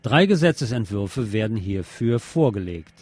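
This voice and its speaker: A man's voice: lowish voice, big pitch swings, normal speed, a little mellow, no room sound. A man presenting scripted news commentary as a radio presenter, with pointed, sardonic phrasing.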